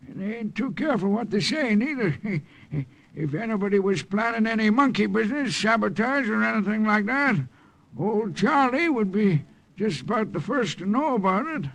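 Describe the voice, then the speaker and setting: An actor playing an old, half-cracked, wheedling drunk who rambles in folksy fashion, in an old-time radio drama. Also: male voice, high-pitched, lively intonation, moderate pace, creaky, fairly bright tone, full character voice. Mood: sly, ingratiating. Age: older. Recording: vintage radio drama recording.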